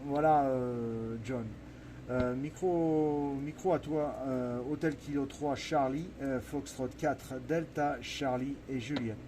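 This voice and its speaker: A mellow-voiced man talks into his microphone during a casual ham radio contact. His pace is slowish and halting, with words often repeated, over faint background noise. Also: mid-range voice, fairly even intonation. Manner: halting, repetitive, searching for words. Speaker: amateur radio operator. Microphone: stage vocal microphone at radio station.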